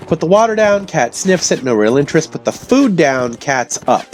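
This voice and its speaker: A man with a hoarse voice from illness, telling rambling stories casually to his audience. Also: fairly high pitch, big pitch swings, moderate pace, soft background music. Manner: meandering, self-interrupting, chatty. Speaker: vlogger.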